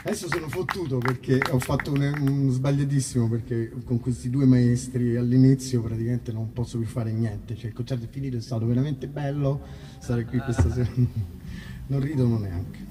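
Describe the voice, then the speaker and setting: A man's voice: mid-range voice, steady tone, normal speed, noisy background. A man with an Italian accent chatting casually between songs at a concert, rambling and joking with self-deprecating irony. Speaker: musician performing a live concert. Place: outdoor festival stage in a park. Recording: live open-air concert, distant mic, music. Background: acoustic guitar music.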